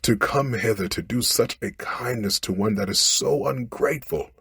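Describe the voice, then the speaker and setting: A humble storybook fisherman, acted out by a man, implores in formal, old-fashioned phrases. The voice is lifted and very breathy, recorded dry and close. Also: medium pitch, normal speed, a bit bright, no room sound, pitched above the performer's own voice, exaggerated voice. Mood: pleading. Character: humble, pleading male fisherman, clever under threat. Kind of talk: storybook character voice.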